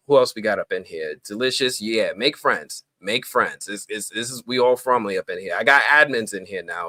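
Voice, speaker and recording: A man hosting a live stream, chatting casually and greeting viewers in slang-heavy talk, his voice jumping up with excitement. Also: mid-range voice, no room sound, clean studio sound. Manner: excitable, voice jumps up when greeting people; relaxed slang-heavy talk with many fillers.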